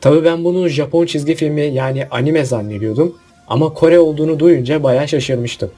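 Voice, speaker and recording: Mellow, husky man over faint music. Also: a little high, a little fast. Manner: opinionated, jokey asides with rhetorical questions. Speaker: video host. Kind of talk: casual list-video commentary.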